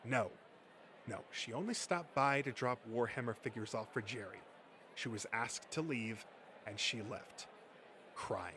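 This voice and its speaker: A man's voice: mid-range voice, unhurried, fairly bright tone, close to an everyday voice. A man voicing a hostile, contemptuous gatekeeping nerd in a comic dub, with a sneering, foul-mouthed manner and noise in the recording. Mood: cold, curt. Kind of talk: comic dub character acting.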